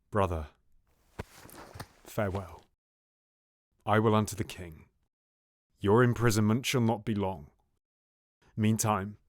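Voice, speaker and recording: Low, scheming Shakespearean villain. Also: male voice, no echo, clean studio sound. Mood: feigned concern. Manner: intimate, theatrical, often near-whispered soliloquy. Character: scheming, bitter duke, villainous brother of the king. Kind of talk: classical Shakespearean audio theatre.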